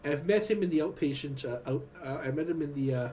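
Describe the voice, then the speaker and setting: Rambling, halting man with a higher voice. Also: dark tone, slight background noise. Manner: halting, many restarts and fillers. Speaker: vlogger. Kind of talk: rambling personal vlog.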